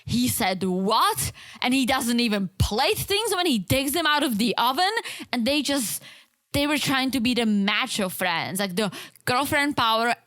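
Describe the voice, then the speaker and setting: A woman co-hosting a podcast about a reality show, chatting casually and acting out quotes in an animated way. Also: a little high, a little slow, no room sound. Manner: animated, acts out quotes from the show, frequent restarts. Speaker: podcast co-host.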